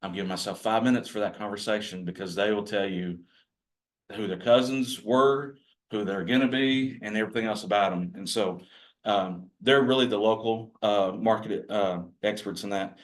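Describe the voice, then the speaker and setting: A man's voice: lowish voice, normal speed. A man with a growly voice, giving a folksy, rambling training talk to a group of real estate agents. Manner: folksy, rambling, self-deprecating. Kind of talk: casual workshop talk to a group.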